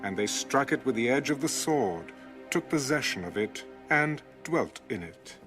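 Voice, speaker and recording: A man reading Bible scripture as a scripted audiobook narration, measured and deliberate. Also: medium pitch, expressive, a bit bright, soft background music.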